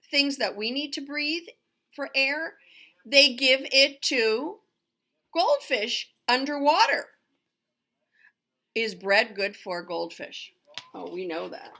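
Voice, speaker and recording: Middle-aged woman, primary teacher reading aloud; bright voice with a creaky edge, clear emphatic explaining. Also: high voice. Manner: emphatic, explanatory, with questions to listeners. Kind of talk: read-aloud lesson for young children.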